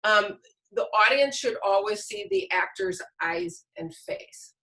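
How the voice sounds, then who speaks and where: A woman giving an informal instructional presentation, haltingly searching for her words. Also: on the high side, lively intonation, creaky, pushed and bright, rough recording. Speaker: teacher leading a training session.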